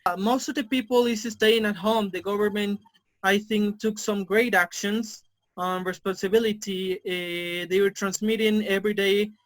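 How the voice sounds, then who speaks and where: A man in his twenties from Mexico, a native Spanish speaker with a Mexican Spanish accent, answering interview questions haltingly with many fillers. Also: sits high, fairly even intonation, fairly bright tone, rough recording. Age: 20s. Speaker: interview guest. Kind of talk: casual video interview.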